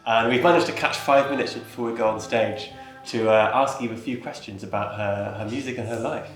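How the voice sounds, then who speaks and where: A man's voice: mid-range voice, fairly quick. A man who is a touring musician, casually introducing the stop and asking interview questions in an easygoing way. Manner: easygoing, with some "um"s. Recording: roomy space with faint music.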